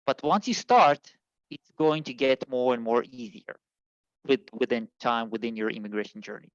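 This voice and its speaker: A man from Israel, an immigration consultant, answering interview questions in a halting way with many fillers and restarts. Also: medium pitch, dry recording, muffled recording.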